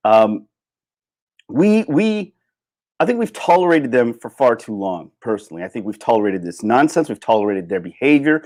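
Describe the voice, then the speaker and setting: A man giving a casual, opinionated livestream rant, sardonic and emphatic, with halting starts and repeated phrases. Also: mid-range voice, very animated, a little mellow. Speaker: livestream host.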